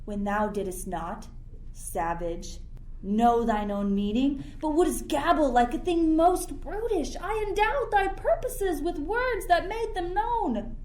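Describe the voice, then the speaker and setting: A woman's voice: high-pitched, animated, slow, darkish tone, slight background noise, rough recording. A young woman playing an imperious sorcerer who rules an island, in theatrical Shakespearean verse for a radio play. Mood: scornful. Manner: commanding, theatrical. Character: Prospero, imperious, stern sorcerer ruling an island.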